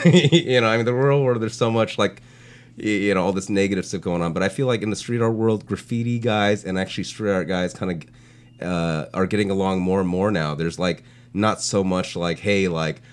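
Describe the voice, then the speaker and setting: Lowish voiced guy cohosting a chat show, rambly and slangy, says like and you know a ton. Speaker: podcast co-host, street art blogger. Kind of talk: casual chat.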